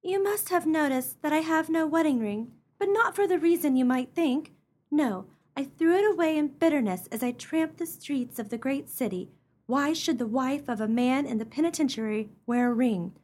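Woman acting a high-voiced, forlorn young mother. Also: moderate pace, darkish tone, lightly acted. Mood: forlorn, bitter. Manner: earnest, melodramatic. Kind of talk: comic melodrama radio acting.

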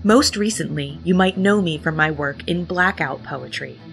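Female podcast host, lower register, playful scripted intro delivery, music underneath. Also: moderate pace, vocal fry. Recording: music bed behind the voice. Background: background music.

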